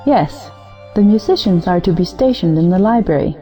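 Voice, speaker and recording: A woman's voice: on the low side, lively intonation, normal speed, warm tone, faint music behind, natural voice. A woman playing an anxious, placating wife and hostess in an audio play. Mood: eager.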